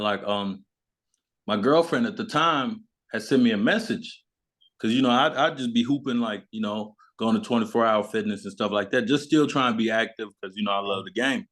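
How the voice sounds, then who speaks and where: A man's voice: on the low side, normal speed, fairly bright tone. A young man from Memphis, telling stories as an interview guest in informal, slangy speech. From born in South Sudan, grew up in Memphis, USA. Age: young adult. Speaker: guest, basketball player. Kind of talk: casual chat, storytelling.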